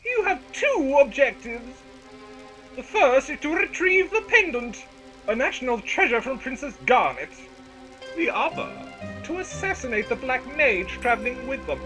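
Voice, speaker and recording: A man voicing a haughty, spiteful queen in a theatrical, drawn-out fan dub performance. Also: very high-pitched, sing-song, poor audio. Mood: commanding. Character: imperious spiteful queen, shrill, gloating and scheming. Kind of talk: anime-style game fan dub acting. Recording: music behind the voice.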